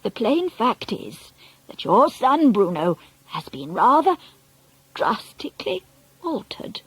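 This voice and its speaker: A woman voicing a feisty, doting old grandmother in an audiobook story, speaking deliberately and with emphasis. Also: fairly high pitch, big pitch swings, breathy edge, no room sound, exaggerated voice, poor audio. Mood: firm insistence.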